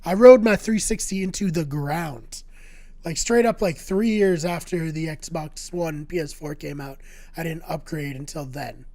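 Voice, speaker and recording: A man co-hosting a casual video game podcast, his voice going hoarse, talking in an upbeat, jokey way with rambling anecdotes. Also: a little high, a little slow, darkish tone. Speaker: podcast co-host. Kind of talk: casual chat.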